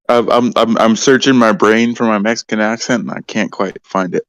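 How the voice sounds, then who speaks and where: A young man in a casual chat with friends, giggly and animated. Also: fairly low pitch, normal speed, no room sound, rough recording.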